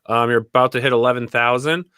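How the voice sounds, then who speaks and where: A man with a very bright, forward voice hosts a casual livestream. His pitch sits in the middle of the male range, and the sound is dry and close, with little room in it. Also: fairly even intonation, fast talker, smooth, no room sound. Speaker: host of a comics crowdfunding livestream.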